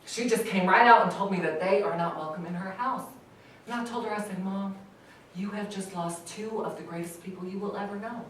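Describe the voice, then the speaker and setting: A woman's voice: medium pitch, a little fast, lightly acted. An actress playing a steadfast middle-aged mother from West Virginia, telling her story plainly in a staged reading. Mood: firm, hurt. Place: stage in a reverberant room, recorded from afar. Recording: echoey hall, far mic, faint noise.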